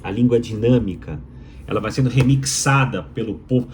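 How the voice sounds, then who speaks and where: A man's voice: mid-range voice, brisk, darkish tone, a little hiss or hum. A man from Minas Gerais with a Mineiro accent, a doctoral student giving an opinionated explainer to viewers, emphatic and rhetorical, repeating his key phrases. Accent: Mineiro Brazilian Portuguese. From Minas Gerais, Brazil.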